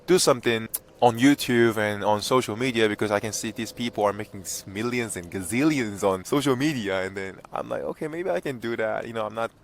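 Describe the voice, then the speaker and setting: Low-voiced young Korean-Mandarin native man. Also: a little fast, slight background noise. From South Korea and southern China. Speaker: vlogger and language content creator. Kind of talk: casual personal vlog talk to camera.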